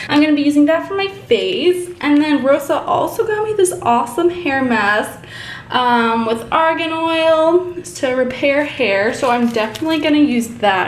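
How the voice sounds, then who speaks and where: A young woman with a high voice talks to her viewers in a casual vlog style. She is chatty and informal, with a giggly manner. There is faint noise behind her. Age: young adult. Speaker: vlogger. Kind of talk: casual get-ready-with-me vlog chat.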